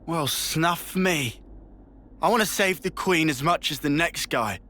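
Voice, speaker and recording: A man's voice: on the high side, a little slow, sharp tone. An actor with a British accent playing a brash, blunt young male fighter in a video game, slangy and exclamatory. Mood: brash, doubtful.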